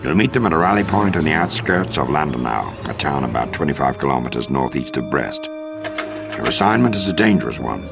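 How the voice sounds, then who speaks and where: An actor playing a commanding American military officer in a video game, giving a measured, formal, authoritative mission briefing. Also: male voice, rumbling low, very animated, vocal fry, heavily acted. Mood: brisk, resolute. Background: music.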